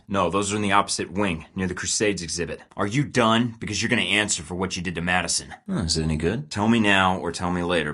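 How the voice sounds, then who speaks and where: A man's voice: deep voice, bright, forceful tone. An actor playing a terse, dry-witted male spy agent in game voice lines. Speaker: video game character.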